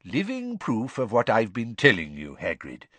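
A man's voice: medium pitch, wildly swooping pitch, normal speed, fairly bright tone, no echo. A man voicing a wise, drily humorous old wizard headmaster, formal and courteous, in a storybook reading. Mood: dry.

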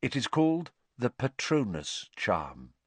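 A man voicing a gentle, tired middle-aged teacher who explains things patiently. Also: medium pitch, wildly swooping pitch, a little slow, slightly rough, no echo, voice pushed up, full character voice.

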